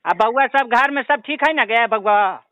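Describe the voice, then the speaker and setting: An ailing old villager from a comic prank call, with an extremely high, clear voice and a Bihari accent. The old villager whines and pleads, rambling on to a daughter in a dry, close sound. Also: male voice, a little slow, bright, forceful tone, no room sound, muffled recording, exaggerated voice. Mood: fussing. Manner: pleading, rambling, repeatedly addressing the listener as daughter. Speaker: prank caller.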